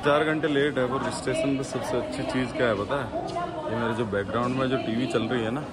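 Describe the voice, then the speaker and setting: A man's voice: mid-range voice, fairly even intonation, brisk. A young man talking casually to his viewers as a travel vlogger, filming himself with a phone at arm's length and an earphone mic on a railway platform. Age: young adult. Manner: chatty, addresses viewers directly. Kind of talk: casual vlog narration to camera. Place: busy railway station platform, outdoors under a roof. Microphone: wired earphone mic, phone held at arm's length. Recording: loud crowd and station noise.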